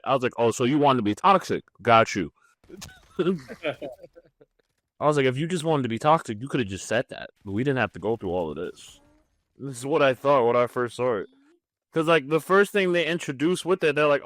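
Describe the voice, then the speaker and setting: A man's voice: medium pitch, no room sound. A man co-hosting a podcast in casual chat, with a joking, sarcastic way of talking. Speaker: podcast co-host.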